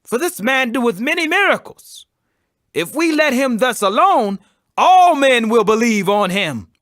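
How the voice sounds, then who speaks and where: A man's voice: extremely high pitch, very animated, a little slow, fairly bright tone, no echo, studio quality, voice pushed up, exaggerated voice. A man voicing a haughty, urgent council priest who declaims emphatically in a dramatized scripture reading. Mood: alarmed.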